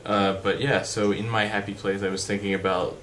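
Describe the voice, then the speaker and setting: A young man with a deep voice chatting unscripted in a vlog, rambling with fillers and restarts. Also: level tone, fairly quick, fairly bright tone. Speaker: vlogger. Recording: background noise.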